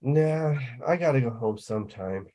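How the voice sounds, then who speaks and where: A man's voice: medium pitch, expressive, moderate pace, dark tone, close to an everyday voice. A man playing a geeky, vulnerable young older brother, awkward, hesitant and hopeful, in a sitcom table read. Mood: resigned, wry.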